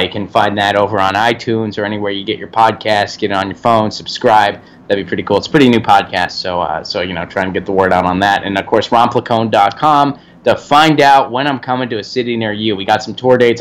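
Fired-up man, casual and profane. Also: on the low side. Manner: animated, profane, enthusiastic. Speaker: guest comedian. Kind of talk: casual interview chat.

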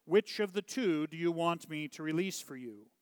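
A man voicing a troubled, pleading Roman governor in a dramatized scripture story, declamatory and deliberate. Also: fairly high pitch, lively intonation, smooth, no room sound, long reverb tail, natural voice. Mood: questioning. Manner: emphatic, deliberate, declamatory questioning.

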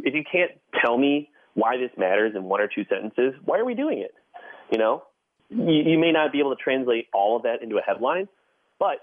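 A man's voice: mid-range voice, dry recording. A man speaking as a podcast guest and editor in a conversational interview, over a thin phone-like line.

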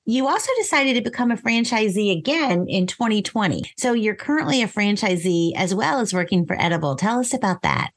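A woman's voice: medium pitch, lively intonation, a little fast, few pauses. A woman hosting a podcast interview, welcoming and enthusiastic with her guest. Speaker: podcast host.

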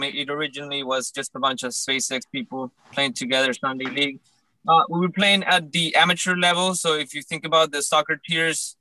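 A man's voice: fairly high pitch, bright, forceful tone, no room sound, low-quality recording. A young man from Colombia, founder of a club, telling his story at length in a casual panel chat with easy fillers. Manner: fluent, expansive storytelling with fillers.

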